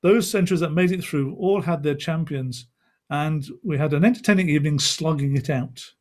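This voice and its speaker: A man with a fairly high voice hosts an informal online event. He talks in a chatty, rambling way, as on a video call. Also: normal speed. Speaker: event host.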